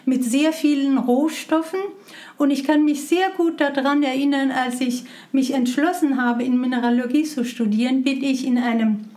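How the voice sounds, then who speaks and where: A woman's voice: sits high, fairly even intonation, normal speed, a little mellow. A middle-aged woman from Iran with a Persian accent, speaking non-native German hesitantly with many fillers as an interview guest. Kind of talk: conversational interview.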